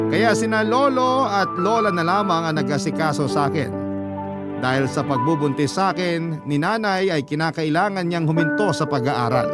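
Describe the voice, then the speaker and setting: A man's voice: on the high side, close to an everyday voice. A man playing a wounded letter sender, narrating a radio drama in a sorrowful, confiding way. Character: wounded letter sender recalling an unwanted childhood. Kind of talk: scripted first-person radio drama narration. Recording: loud music under the voice.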